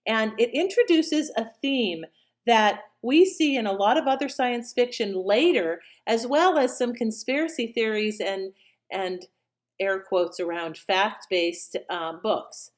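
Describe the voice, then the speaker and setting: A woman's voice: a little high, animated, unhurried. A woman casually introducing an old radio drama as a host, talking informally to listeners.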